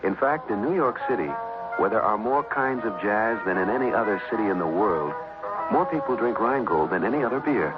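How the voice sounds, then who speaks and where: A man's voice: lowish voice, animated, fairly quick. An American man reading a scripted 1960s radio commercial as an announcer. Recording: dull vintage radio recording. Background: loud jazz music.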